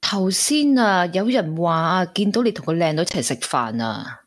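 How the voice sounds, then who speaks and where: A woman's voice: lowish voice, expressive, normal speed. An actress playing a jealous, sceptical girlfriend in a radio drama, with a Hong Kong accent and pointed questioning manner. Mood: angry, jealous. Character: jealous, sceptical woman questioning her boyfriend. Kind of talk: Cantonese radio drama acting.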